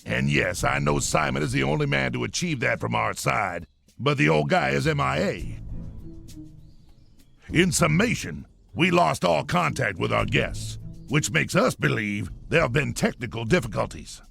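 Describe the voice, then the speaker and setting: An actor playing a blustering, bossy old army colonel with a gravelly voice. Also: male voice, mid-range voice, expressive, normal speed, a bit bright, soft background music. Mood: gruff. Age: older. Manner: gruff, boastful and commanding.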